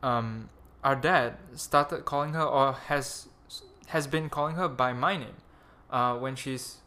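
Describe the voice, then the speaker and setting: Bright-voiced young man, Malaysian accent. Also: mid-range voice, slow pace. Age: young adult. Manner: rambling, crude, self-mocking. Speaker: podcast host. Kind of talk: casual chat.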